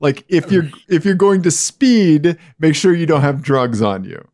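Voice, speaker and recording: A man with a naturally high voice co-hosting a podcast. He laughs easily, and his pitch swings widely and climbs even higher when he gets excited. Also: a little slow. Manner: giggly, animated, voice jumps high when excited. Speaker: podcast co-host. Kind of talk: casual podcast chat.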